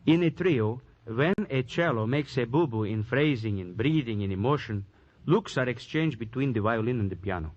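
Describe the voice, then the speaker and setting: An actor playing a weary, bitter music teacher in an old-time radio drama, speaking in deliberate, florid, repetitive phrases. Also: male voice, medium pitch, animated, a little mellow, no echo, lo-fi sound, lightly acted. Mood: bitter, intense.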